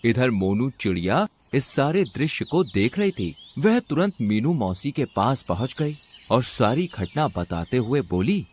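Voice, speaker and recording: A man's voice: medium pitch, sing-song, measured pace, a little hiss or hum. A man narrating a scripted animal fable as a storyteller for a cartoon.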